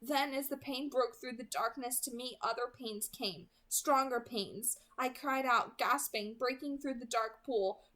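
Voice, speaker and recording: Woman, fairly high pitch, read-aloud host, earnest, expressive storytelling delivery. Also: a little slow, few pauses. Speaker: host reading a novel aloud. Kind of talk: dramatic audiobook-style read-aloud with casual introduction.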